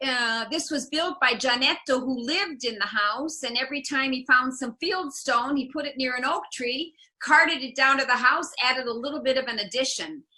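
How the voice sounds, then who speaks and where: A woman's voice: sits high, moderate pace, hardly any pauses, sharp tone. A woman in her seventies from the US Midwest, giving an illustrated talk as a tour guide on a video call, telling her story and dropping in Italian words with relish. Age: 70s. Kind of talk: illustrated talk over slides.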